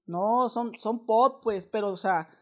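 A man's voice: high voice, takes it slowly. A young man with a Mexican accent making a casual prank phone call, talking in a slangy, wheedling, mock-earnest way. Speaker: prank caller. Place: indoors, small living room.

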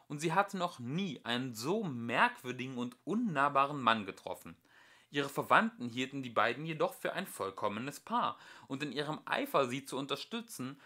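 A young adult man reading a fairy tale aloud as an amateur audiobook narrator, with expressive emphasis. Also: medium pitch.

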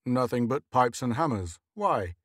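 A man's voice: mid-range voice, big pitch swings, a little slow, a bit bright, no room sound, studio quality. A man voicing an easygoing middle-aged husband, brusque and matter-of-fact. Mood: breezy.

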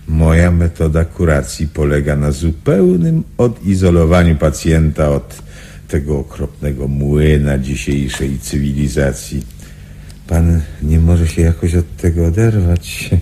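An actor playing a genial but evasive sanatorium doctor in a radio drama, fussy and patronising. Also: male voice, extremely low pitch, takes it slowly, dark tone. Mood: reluctant, lecturing.

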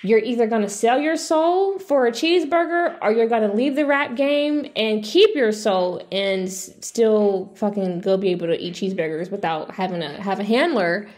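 A woman's voice: mid-range voice, moderate pace, few pauses, a little mellow. A woman giving opinionated, casual commentary in a blunt, exasperated, sweary manner. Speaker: video commentator.

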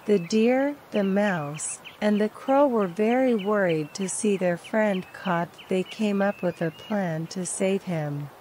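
A woman's voice: mid-range voice, slow pace, few pauses, a little mellow, slight background noise. A woman reading a scripted children's story as a storyteller.

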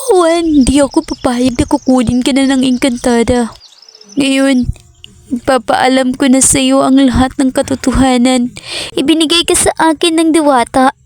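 A woman's voice: high voice, fairly even intonation, normal speed, a little mellow, a little hiss or hum, lightly acted. A woman playing a worried, loving village mother in a cartoon story, scolding her lazy son and then pleading for him. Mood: tender. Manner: scolding then tender, fussing.

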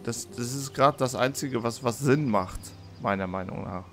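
A man with a slightly hoarse voice giving casual game commentary as a let's play host, thinking aloud and repeating words. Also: medium pitch, normal speed. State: hoarse from being under the weather. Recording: music behind the voice. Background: game music.

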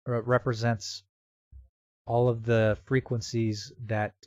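A man presenting a casual software tutorial in a halting, step-by-step way, as if thinking aloud. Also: mid-range voice, little pitch movement, no room sound, highs cut off. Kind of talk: casual screen-recorded tutorial narration. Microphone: computer microphone with real-time noise removal.

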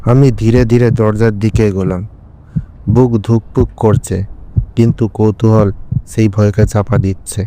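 Low, even-toned man narrating horror. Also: slight background noise, poor audio. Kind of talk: scripted horror story narration in first person.

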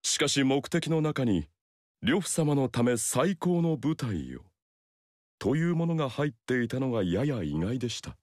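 An actor playing a loyal young male retainer in a game, formal and courteous, with quiet irony. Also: medium pitch, very animated, unhurried, no echo, studio quality. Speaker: game character voice. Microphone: dry studio mic.

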